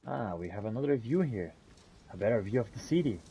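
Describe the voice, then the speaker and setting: A man's voice: on the low side, expressive, brisk, dark tone, slightly rough, highs cut off, full character voice. A man role-playing a musing, companionable fantasy adventurer in a video game, speaking in theatrical, archaic phrasing as he comments on his journey. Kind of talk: in-character gameplay commentary.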